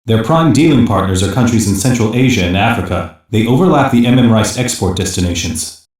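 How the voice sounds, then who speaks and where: A man playing a sober security-police investigator in a sci-fi game, delivering measured, factual reports. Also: fairly low pitch, expressive, darkish tone, lightly acted. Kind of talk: English animated sci-fi game voice acting. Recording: very echoey, distant-sounding game audio.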